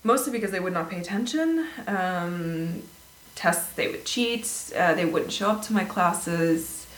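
A woman chatting casually as a guest, telling animated stories about her teaching days and laughing easily. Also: on the low side, measured pace. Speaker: guest, former English teacher. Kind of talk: casual conversational storytelling. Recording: echoey room with faint noise.

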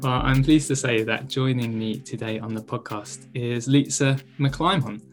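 A man hosts a podcast with a measured, polite delivery at an ordinary pitch. He opens with a scripted introduction, then moves on to questions for his guest. Faint music plays underneath. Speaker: podcast host.

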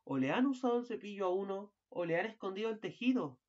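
A woman acting an exasperated, fussy husband in an amateur radio play, bickering and at times shouting. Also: mid-range voice, lively intonation, fast, natural voice. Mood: lamenting. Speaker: student radio drama actor. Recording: muffled lo-fi recording.

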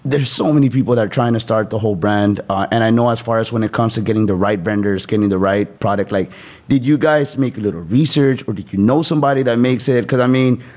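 A man's voice: fairly low pitch, quick pace, darkish tone. A man in his thirties hosting a podcast interview in a casual, motivational style, with informal, rambling talk and some swearing. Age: 30s. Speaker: podcast host.

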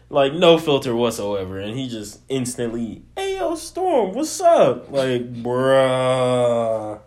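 A man's voice: mid-range voice, huge pitch swings, takes it slowly, darkish tone. A man in casual chat, teasing and laughing often, his voice a bit nasal and sniffly from allergies.

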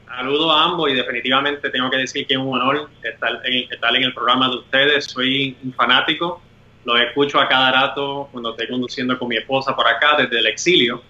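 Bright Puerto Rican man on video call. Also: mid-range voice, steady tone, a little fast. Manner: earnest, with "eh" fillers between sentences. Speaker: guest, lawyer. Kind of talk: interview answers. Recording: roomy, dull video call audio.

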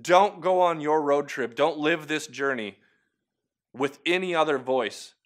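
A man's voice: fairly high pitch, unhurried. A man hosting a short weekly video devotional, talking earnestly and encouragingly to the viewer in a conversational way. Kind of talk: short devotional talk to camera.

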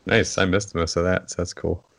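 A man's voice: sits low, little pitch movement, brisk, vocal fry, fairly bright tone, dry recording. A man chatting on a podcast, mostly brief agreeing remarks with dry humor. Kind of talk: casual podcast chat.

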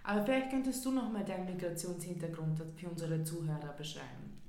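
A woman's voice: lowish voice, fast, gravelly, dark tone, roomy sound. A woman co-hosting a casual podcast, asking guests questions in a studio. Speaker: podcast co-host.

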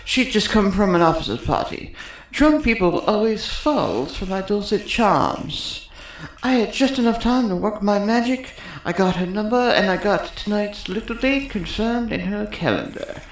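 A woman's voice: medium pitch, animated, normal speed, lightly acted. A woman playing a cocky, sarcastic male electrical technician in a sci-fi comedy audio drama, smug and wordy. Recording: roomy echo.

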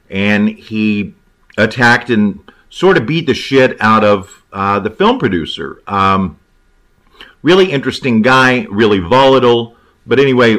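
A man with a lowish voice talks casually about film, as a writer giving commentary. His delivery is conversational and a little halting, and he states his opinions freely. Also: fairly bright tone.

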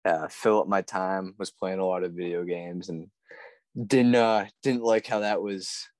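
Laid back guy in his 20s, low voice, dry mic, loose chat with lots of ums. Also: steady tone, measured pace, darkish tone, dry recording. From Massachusetts, USA. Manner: easygoing, self-deprecating, fillers. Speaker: podcast guest, amateur fighter. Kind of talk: casual interview talk.

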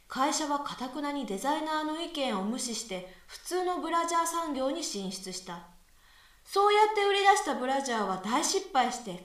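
A woman playing a skeptical, exacting young female university student with dry retorts in a conversational radio drama. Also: high voice. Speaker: voice actress in amateur radio drama. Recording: echoey room, far from mic.

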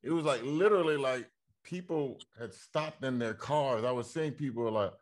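Guy hosting an interview, halting, lots of um and uh, medium pitch voice. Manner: hesitant, halting, many fillers and restarts. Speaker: interview host. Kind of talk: casual conversational interview.

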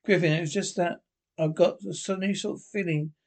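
A husky-voiced reader playing a cemetery caretaker, who talks in a halting, hesitant way. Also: mid-range voice, steady tone, a little mellow, natural voice. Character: caretaker of an old cemetery, uneasy.

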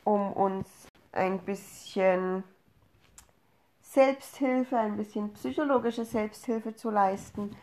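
A woman's voice: mid-range voice, slow pace, a little mellow. Woman in her thirties, casual podcast co-host. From Upper Franconia, Germany. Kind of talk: casual conversational podcast talk.

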